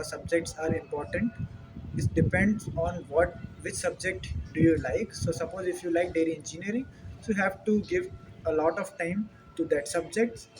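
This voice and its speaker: A young man from India, a native Hindi speaker with an Indian accent, explaining things informally in an earnest, slightly halting way. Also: on the high side, low-quality recording. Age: young adult. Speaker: dairy technologist answering questions. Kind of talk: informal question-and-answer explanation.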